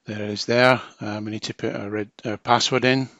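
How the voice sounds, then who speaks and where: A man's voice: on the low side, level tone, fairly quick, fairly bright tone, no echo, dull audio. A man narrating a hands-on technical tutorial as a trainer, explaining informally step by step.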